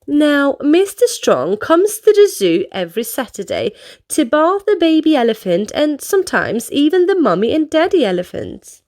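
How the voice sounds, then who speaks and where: A woman's voice: high-pitched, big pitch swings, normal speed, a little mellow. A woman reading storybooks aloud to children expressively, addressing young listeners with questions and exclamations.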